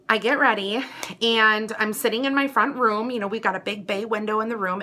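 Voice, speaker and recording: Bright-voiced American woman telling stories. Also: on the high side. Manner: animated, chatty, dramatic emphasis with acted-out quotes. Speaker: vlogger. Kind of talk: casual personal storytelling to camera.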